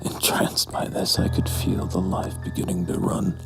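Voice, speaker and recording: Over prominent music comes a callous, doomed lover acted by a man, his voice extremely low and creaky. He draws his words out slowly and broodingly, like a confession in a gothic horror audio drama. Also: completely flat delivery. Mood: brooding, entranced. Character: doomed callous male seducer recounting a dark tryst.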